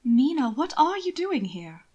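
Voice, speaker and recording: A woman's voice: high-pitched, very animated, unhurried, a little mellow, lo-fi sound, pitched above the performer's own voice. A woman voicing an anxious, sly young genteel lady in an audiobook, speaking in an urgent, coaxing, dramatic way. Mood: urgent concern. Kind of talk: audiobook character dialogue.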